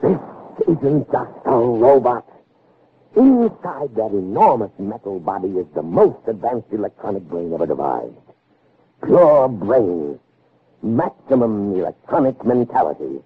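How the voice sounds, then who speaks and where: An actor playing an intense, obsessive scientist with theatrical, brooding delivery in an early 1960s radio drama. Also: male voice, on the low side, very animated, unhurried, breathy edge, narrow, tinny audio, heavily acted. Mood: exultant. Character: intense, obsessive male scientist impatient with human weakness. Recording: thin, noisy old radio recording.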